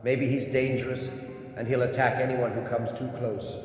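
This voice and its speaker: A man voicing a cautious adult rat catcher who broods about dark cellars, his talk dropping to hushed tones. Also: mid-range voice, flat delivery, normal speed, close to an everyday voice. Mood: wary. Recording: echoey reverberant hall.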